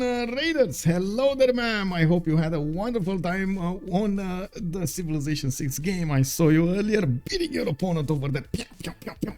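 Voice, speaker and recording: A man casting a competitive strategy game match live, chatty and quick to laugh, greeting viewers as he talks. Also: sits high, a little mellow.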